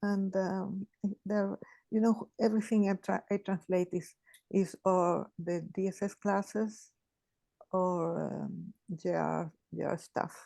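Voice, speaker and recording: A woman, sharing in a group call in a halting, thoughtful way with many restarts. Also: medium pitch, little pitch movement, creaky, mellow, no room sound. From Chile. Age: 80s. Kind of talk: casual sharing on a video call.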